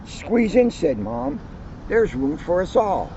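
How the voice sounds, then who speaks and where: Cop guy reading a kids book outside, kinda high, dark mellow tone, muffled lo-fi sound. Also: slow pace, creaky, slight background noise. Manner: lively storytelling, livens up on quoted lines. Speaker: police officer. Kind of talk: reading a children's picture book aloud. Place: outdoors on a hill at a historic house.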